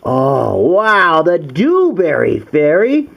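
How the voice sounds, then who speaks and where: A man's voice: a little high, wildly swooping pitch, takes it slowly, vocal fry, muffled recording. A man reading a children's storybook to his young daughter as her father, with exaggerated wonder. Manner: exaggerated wonder, gasps and coos.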